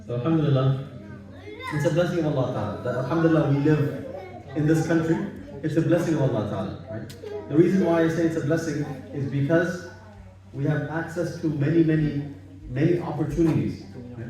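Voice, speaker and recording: A man's voice: on the high side, fairly quick, a crowd in the background. Man from Bangladesh in an echoey room. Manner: relaxed, repetitive, sprinkled with religious phrases. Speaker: halaqah speaker. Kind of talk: informal religious talk. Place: home living room gathering. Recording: echoey room, far from mic.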